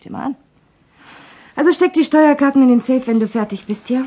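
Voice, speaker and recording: An actress playing a brisk, teasing office secretary in a German crime radio play. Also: female voice, sits high, warm tone. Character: brisk, bossy but friendly female office secretary. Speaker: actor in a crime radio drama.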